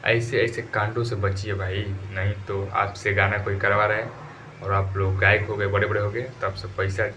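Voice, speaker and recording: Low-voiced Bhojpuri-accented man over background noise. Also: level tone, moderate pace, bright, forceful tone. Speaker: entertainment gossip news presenter. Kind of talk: informal YouTube news commentary.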